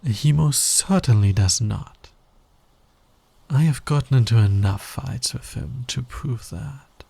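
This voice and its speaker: Male actor as cocky fantasy villain, slow and gravelly, smug, teasing, flirtatious, intimate ASMR roleplay. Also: mid-range voice, warm tone, no echo, exaggerated voice. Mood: smug, confiding. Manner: smug, playful, drawling, teasing.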